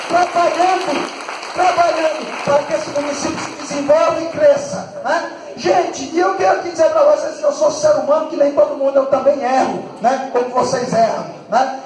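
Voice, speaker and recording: A man with a northeastern Brazilian accent giving an impassioned political speech as a mayor, emphatic and repetitive, over a PA in a hall. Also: very high voice. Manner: emphatic, repetitive, rhetorical questions. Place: large open-roofed hall, at a head table. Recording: very echoey hall public-address recording.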